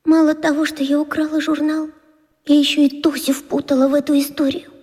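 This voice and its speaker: Woman as a very high-voiced anxious schoolgirl, reverberant. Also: little pitch movement, fairly quick, dark tone, natural voice. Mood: guilty, distraught. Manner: agitated, emotional. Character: anxious young schoolgirl, guilty and defensive. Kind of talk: children's radio play acting.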